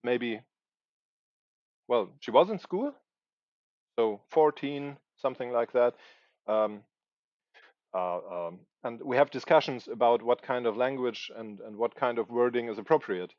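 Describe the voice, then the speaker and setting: A man's voice: mid-range voice, measured pace, pauses often, no echo, dull audio. A man in his forties whose first language is German, thinking aloud as he writes on a livestream, hesitant and searching for words. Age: 40s. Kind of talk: thinking aloud while writing live.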